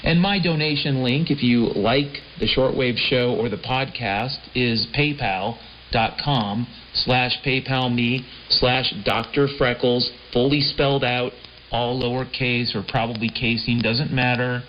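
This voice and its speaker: A man's voice: medium pitch, steady tone, unhurried, a little hiss or hum. A man with an American accent hosting a rambling late-night shortwave show in a wry, laid-back way, repeating phrases and tossing out rhetorical questions. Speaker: shortwave radio show host. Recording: dull lo-fi sound under prominent keyboard music. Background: piano-like keyboard music.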